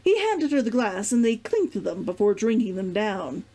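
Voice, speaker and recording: A woman reading fiction aloud as an expressive storybook narrator. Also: medium pitch, moderate pace. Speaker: reader of a fiction read-along.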